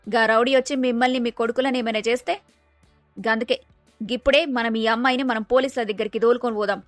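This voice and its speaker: A woman's voice: a little high, fairly even intonation, quick pace, no echo, faint music behind, lightly acted. A woman with a Telangana accent playing a blunt, scheming young daughter-in-law in a family story. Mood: sharp, scheming.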